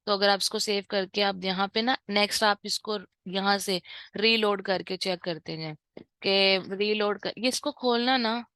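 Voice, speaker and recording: Brief: bright, crisp-voiced woman, casual tutorial presenter, dry close sound. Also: medium pitch, moderate pace, dry recording. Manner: informal, repeats "ठीक है" often, mixes in English terms. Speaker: online course instructor. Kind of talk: screen-share tutorial walkthrough.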